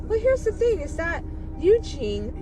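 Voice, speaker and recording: High-pitched, animated woman retelling fairy tales. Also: a little slow, over music. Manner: excitable, playful. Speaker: host. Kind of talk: casual comic fairy-tale retelling.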